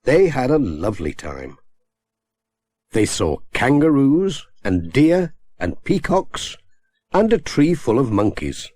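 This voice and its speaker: A man with a British accent narrating a children's story in a measured, expressive storytelling manner. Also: medium pitch, dry recording. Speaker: audiobook narrator.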